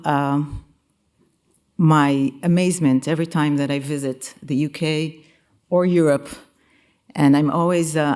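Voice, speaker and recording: Female executive, low-pitched, delivering formal symposium remarks in a measured, slightly halting way. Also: a little mellow. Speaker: company chief executive giving opening remarks. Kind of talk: prepared conference speech. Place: conference venue in a museum.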